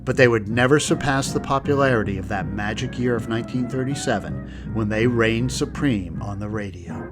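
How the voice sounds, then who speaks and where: A man's voice: lowish voice, normal speed. A man hosting a radio history program with scripted documentary narration. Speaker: host. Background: music.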